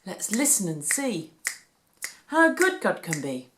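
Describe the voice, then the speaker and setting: A woman reading a Bible story aloud for children, with a deliberate, animated storytelling delivery. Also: mid-range voice, background noise.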